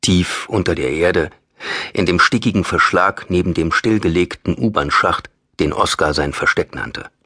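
A man's voice: low voice, moderate pace, pushed and bright, husky, no echo, studio quality. A man with a standard German accent reading a thriller audiobook as dramatic scripted narration.

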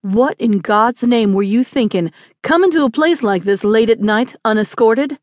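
A woman's voice: on the high side, lively intonation, moderate pace, lightly acted. A stern frontier marshal as voiced by a woman narrator in an audiobook western. He gives orders firmly and with irritation, used to being obeyed. The sound is dry, without room echo. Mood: scolding. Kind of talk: acted audiobook dialogue.

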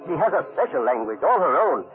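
A playful radio storyteller, theatrical and confiding, with a hugely animated delivery that moves briskly. The old recording is thin and narrow, with loud music behind him. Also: male voice, on the high side. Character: playful storybook narrator, a grown man addressing children. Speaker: narrator.

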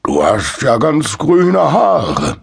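Man doing a kindly grandfatherly train conductor, pitched up. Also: vocal fry, husky, full character voice. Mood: calm, amused. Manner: calm, drawn-out, grandfatherly.

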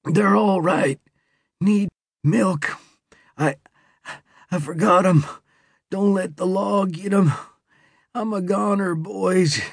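A man's voice: high voice, takes it slowly, gravelly, long pauses, husky, no echo, clean studio sound, voice pushed up, exaggerated voice. A man voicing a dying male outlaw who speaks weakly and breaks off mid-sentence while he pleads. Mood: weak, pleading.

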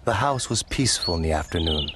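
A man's voice: low-pitched, lively intonation, quick pace, noisy background. An actor playing an easygoing young cowboy drifter, telling his story in a reflective, folksy way for a western audio drama. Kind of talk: first-person western audio drama narration.

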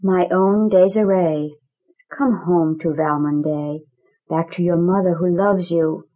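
A woman's voice: on the low side, fairly even intonation, takes it slowly, phone-quality sound. An actress playing a loving, middle-aged mother in an audiobook drama, tender and solemn, through a thin filtered sound. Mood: tender, sorrowful. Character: loving, grieving older mother writing to daughter.

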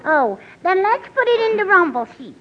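A squeaky, bratty little girl. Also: sing-song, moderate pace. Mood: cheeky. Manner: childish bratty questions, ungrammatical. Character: mischievous small girl, endlessly questioning. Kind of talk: 1940s radio comedy acting. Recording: dull old radio broadcast, faint hiss.

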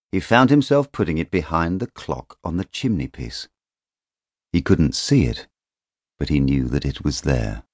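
A man narrating literary fiction as an audiobook, in a measured, expressive storytelling manner on a close studio microphone. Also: low-pitched, no room sound.

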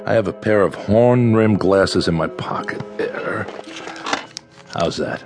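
A male psychiatrist with a deep voice and a measured, patient manner. He has a dry humor. It is a radio drama with soft music in the background. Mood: dry, attentive. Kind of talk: audio drama acting.

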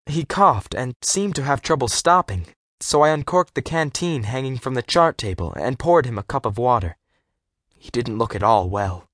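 A man's voice: mid-range voice, lively intonation, normal speed, a bit bright, no room sound. Man narrating an adventure audiobook, close and dry. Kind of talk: scripted first-person storytelling for young readers.

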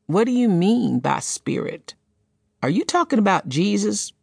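Woman narrator as higher-pitched, puzzled audience woman. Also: very animated, a little slow, gravelly, husky, dry recording, studio quality, heavily acted. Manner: questioning, plain-spoken.

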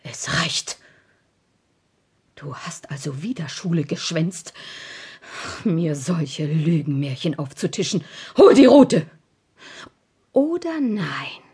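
A woman's voice: low voice, slow, long pauses, husky, heavily acted. A woman playing a strict but fond, moralizing aunt in a German children's audio drama. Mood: angry, stern. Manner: scolding, moralizing, expressive.

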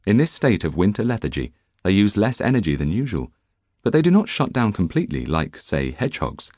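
A man narrating a nonfiction audiobook in an expressive storytelling manner, close to the microphone in a studio. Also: lowish voice, a little fast, dry recording. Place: dry recording studio.

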